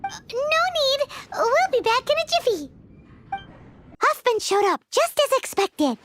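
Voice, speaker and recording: A woman voicing a tiny, cheeky, excitable fairy girl companion in a video game, full of exclamations. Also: very high-pitched, a little slow, very noisy, full character voice. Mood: bubbly. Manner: speaks of self in third person, exclaims.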